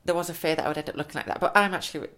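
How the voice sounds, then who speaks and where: A man's voice: on the high side, racing, pushed and bright. Young man, podcast co-host, casual chatty talk, slight lisp, laughs easily. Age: 20s. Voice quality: slight lisp from new teeth. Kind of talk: casual chat.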